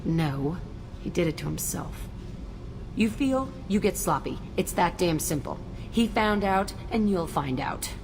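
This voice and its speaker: A hard-edged young woman outlaw in a sci-fi game. Her voice sits low, and she speaks bluntly, with bitter, guarded defensiveness. There is plenty of noise behind her. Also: expressive, unhurried. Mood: defiant. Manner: blunt, foul-mouthed, defensive. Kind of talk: video game dialogue. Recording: loud background noise, game ambience.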